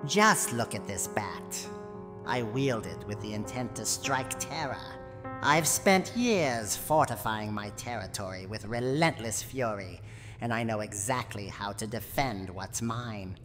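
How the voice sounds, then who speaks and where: A woman voicing a menacing, proud, cranky old granny in a theatrical, deliberate ASMR roleplay monologue. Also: extremely low pitch, lively intonation, in a large hall, over music. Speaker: roleplay voice actor.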